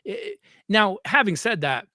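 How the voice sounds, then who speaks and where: A man's voice: high voice, big pitch swings, measured pace, bright, forceful tone, no echo, clean studio sound. A man hosting a conversational interview, emphatic and repeating phrases to stress his points. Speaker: podcast host, former coach.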